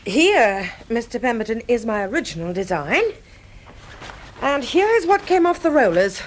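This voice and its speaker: An actress playing a forthright, indignant designer with a British accent, speaking crisply in a radio drama. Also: female voice, fairly high pitch, sing-song, normal speed, slight background noise. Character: outspoken, indignant young woman designer.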